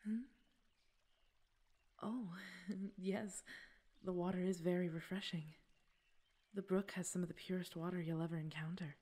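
A woman playing a gentle, coaxing fey queen with secret possessiveness in an ASMR roleplay, speaking breathily and formally, often near a whisper. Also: lowish voice, fairly even intonation. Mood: sweet, gracious. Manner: slow, soothing, slightly formal speech. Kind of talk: ASMR roleplay audio drama.

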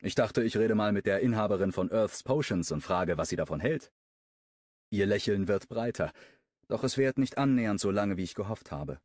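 A man narrating a first-person romance audiobook with an expressive delivery. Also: normal speed, no room sound, studio quality. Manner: expressive, with wide pitch movement.

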